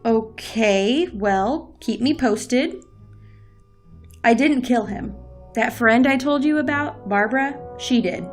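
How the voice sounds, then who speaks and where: Woman acting a breezy, highish-voiced sardonic professor. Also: takes it slowly, soft background music. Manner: breezy, sarcastic. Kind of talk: audio drama acting.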